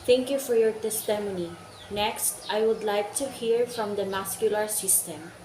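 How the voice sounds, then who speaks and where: A woman's voice: mid-range voice, moderate pace, natural voice. A woman playing a composed judge who formally questions witnesses in an amateur educational reader's theater play. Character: composed judge presiding over testimony of body systems. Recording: echoey room, loud background noise.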